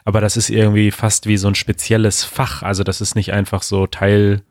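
Low-voiced man, easygoing podcast co-host. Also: flat delivery, fairly quick, dry recording, studio quality. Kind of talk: casual chat.

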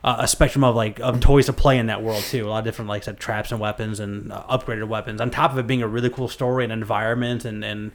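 A male podcast co-host who talks quickly and rambles, circling through his thoughts with lots of likes and false starts. It's loose, casual chat between friends. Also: fairly low pitch, steady tone. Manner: rambling, full of likes and restarts.

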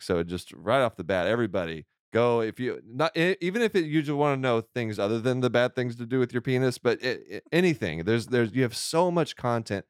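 A man's voice: lowish voice, smooth, no echo, clean studio sound. A man hosting a casual interview, chatty and joking, with frequent restarts. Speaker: podcast host.

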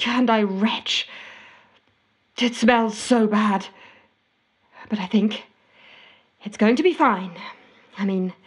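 Frantic woman, higher voice, dramatic and exasperated audio-fiction monologue as a desperate phone call. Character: frantic woman desperate to wash her itchy hands.

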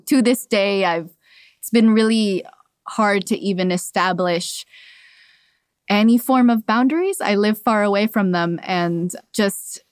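A young adult woman, born in Cuba and raised in Miami, speaks at a middle pitch as she reflects on family. She laughs lightly between thoughts and sometimes restarts a sentence. The recording is dry and close, with no room sound. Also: no echo. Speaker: podcast guest. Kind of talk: personal storytelling in an interview.

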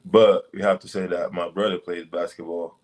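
A young man from Senegal, a basketball prospect, answering interview questions in loose, relaxed phrasing over a video call. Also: low-pitched, normal speed. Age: young adult. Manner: relaxed, loose phrasing with many "like" and "you know".

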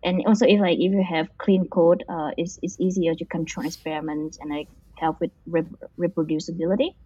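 A woman's voice: lowish voice, flat delivery, brisk, warm tone, slightly rough. Hesitant woman from Vietnam, muffled audio. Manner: hesitant, many fillers and restarts, frequent "like". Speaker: interview guest, machine learning engineer. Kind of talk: casual interview answers.

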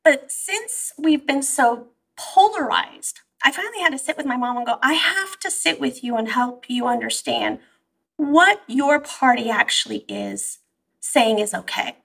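A woman, born in Iran and raised in the US, telling stories in an animated, conversational way as an interview guest. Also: sits high, unhurried, vocal fry, husky, far from the mic. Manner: animated storytelling, quoting herself and her mother. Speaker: therapist guest.

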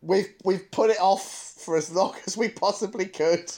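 A man's voice: high-pitched, moderate pace, darkish tone. A man co-hosting a podcast in casual chat, thinking aloud as he talks at length. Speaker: podcast co-host.

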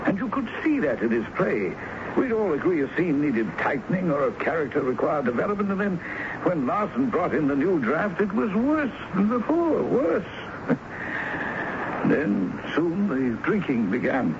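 An actor playing a wry, talkative theatre producer under questioning in an old-time radio drama, with hesitant asides and dry chuckles. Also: male voice, fairly high pitch, big pitch swings, moderate pace. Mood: wry, emphatic. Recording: dull, noisy vintage radio recording.

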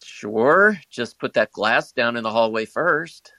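A man playing a guarded, wry high school senior in an audio drama, with a casual, dry delivery. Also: lively intonation, takes it slowly, pushed and bright, no room sound.

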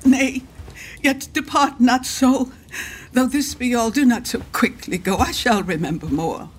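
An actress playing a grieving older widowed duchess in a Shakespearean radio drama, speaking husky, emotional formal verse. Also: female voice, fairly high pitch, measured pace. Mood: grieving, desolate.

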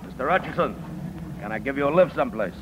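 An actor playing a menacing gangster boss who feigns friendliness, in the style of 1950s radio drama. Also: male voice, expressive, fast talker, close to an everyday voice. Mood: smoothly menacing. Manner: falsely friendly, veiled threats. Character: menacing, smooth-talking male gangster boss posing as respectable businessman. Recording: noisy, muffled 1950s radio recording with car rumble.

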